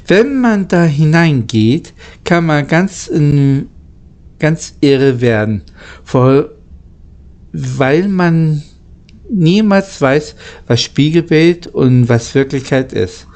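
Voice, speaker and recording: A man reading a children's story aloud in a halting way, stumbling over words now and then. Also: fairly high pitch, animated, darkish tone. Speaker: amateur audiobook reader.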